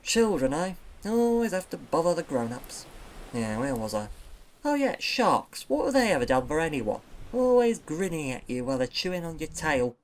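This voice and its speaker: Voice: mid-range voice, sing-song, normal speed, slight background noise, close to an everyday voice, poor audio. A performer voicing a grumpy, opinionated mother seal who rants about the world. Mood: ranting. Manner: ranting, opinionated, politically preachy.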